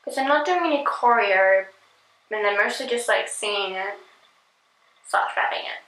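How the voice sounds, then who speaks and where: A woman hosting a casual reaction video, chatting and giggling, often filling pauses with "like". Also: mid-range voice, expressive, a bit bright, roomy sound, rough recording. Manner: chatty, giggly, filler-heavy.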